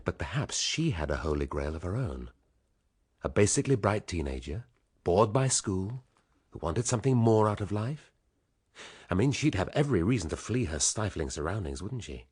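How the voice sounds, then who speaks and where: An actor playing a brooding, cultured middle-aged English police inspector in a radio drama, wry and musing in manner, with a husky voice. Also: male voice, low-pitched, very animated, normal speed, darkish tone, exaggerated voice. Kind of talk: naturalistic radio drama acting.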